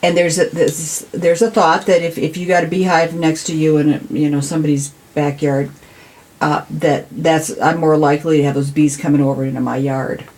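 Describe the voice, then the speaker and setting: Woman, American, deep-voiced, earnest local-politician type explaining things conversationally. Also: flat delivery, normal speed, darkish tone. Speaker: city council member, podcast guest. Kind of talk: conversational interview.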